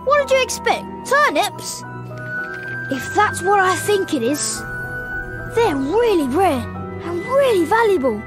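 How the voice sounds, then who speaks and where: A performer playing an eager, excitable young schoolboy wizard in a video game. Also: very high-pitched, measured pace, long pauses, music in the background, full character voice. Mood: excited, eager. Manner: eager, wide-eyed.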